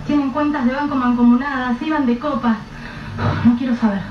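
A woman's voice: high-pitched, fairly even intonation, fairly quick, lightly acted. A woman playing a distraught young mother whose husband has vanished, snapping and swearing in bursts. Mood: angry. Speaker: lead character. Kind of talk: radio drama acting. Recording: roomy, dull, noisy radio-play recording.

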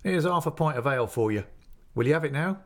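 A man's voice: on the high side, lively intonation, fast talker, a bit bright, pitched above the performer's own voice. A man putting on a sly, gabbing inn waiter. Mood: chatty. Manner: garrulous, wheedling, mock-dismayed. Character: chatty, greedy, sly male inn waiter.

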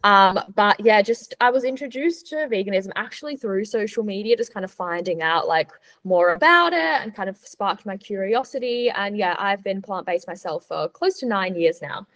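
A young adult woman with a mid-pitched voice speaks as a guest on a podcast. She explains things informally and in an upbeat, conversational way. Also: expressive, normal speed, hardly any pauses, a bit bright. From born in Switzerland, moved to Australia as a child. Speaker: podcast guest, sports nutritionist. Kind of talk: casual conversational interview answers.

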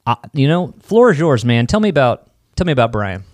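A man's voice: medium pitch, big pitch swings, a little fast, a little mellow, no echo. A man hosting a casual interview, asking curious questions and often stammering and repeating words. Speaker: podcast host.

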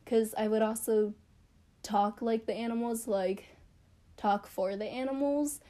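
A woman's voice: a little high, fairly even intonation, measured pace, mellow. A young woman chatting casually to camera about her childhood, rambling and self-deprecating with lots of fillers. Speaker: vlogger.

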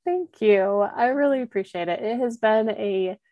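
A woman's voice: medium pitch, moderate pace, no echo, phone-quality sound. A young woman speaking candidly in an interview, earnest and reflective, with lots of "like" and restarts. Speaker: podcast host and guest.

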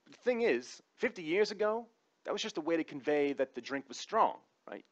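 A man's voice: a little high, sing-song, a little fast, muffled recording. A man giving a conversational comic opinion monologue in an informal, wry, sometimes profane way. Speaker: comedic commentator.

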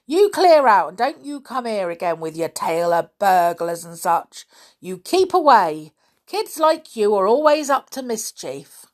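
A woman's voice: mid-range voice, expressive, slow, pitched above the performer's own voice. A woman voicing a grumpy, suspicious, deaf old man in a children's story, scolding irritably in rustic turns of phrase.

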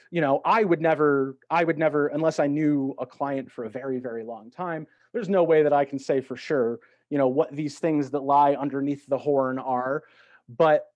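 A man's voice: on the high side, darkish tone, no room sound, muffled recording. A man talking in a reflective, conversational way as a podcast guest, encouraging and reassuring as he gives advice. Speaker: podcast guest, actor and tarot reader.

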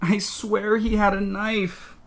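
An indignant young girl acted by a male narrator argues her case in an audiobook. The voice is pitched up high, insistent and pleading, with plenty of defiance. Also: level tone, slow, full character voice. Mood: protesting. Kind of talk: audiobook character dialogue.

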